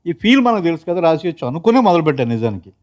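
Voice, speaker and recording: A man chatting casually in an interview, telling stories in an animated way and acting out quotes. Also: on the high side, very fast, muffled recording. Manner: animated storytelling, acts out quotes. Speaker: film lyricist and writer.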